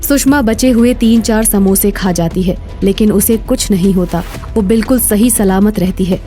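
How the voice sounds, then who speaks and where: A woman's voice: mid-range voice, normal speed, warm tone, soft background music. A woman narrating a scripted story as its storyteller.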